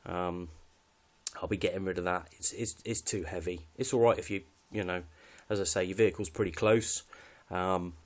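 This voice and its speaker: A man's voice: deep voice, steady tone, normal speed. A British man giving a casual, unscripted walkthrough of his kit in a matter-of-fact, slightly hesitant way. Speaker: hobbyist presenter showing radio kit.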